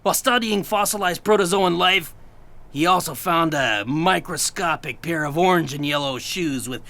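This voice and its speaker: An irritable agency chief in a comic audio drama, blustering and rambling. The voice is highish for a man, forceful and creaky, with an eccentric, exasperated air. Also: moderate pace. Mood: grudging briefing. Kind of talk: comic sci-fi audio theatre acting.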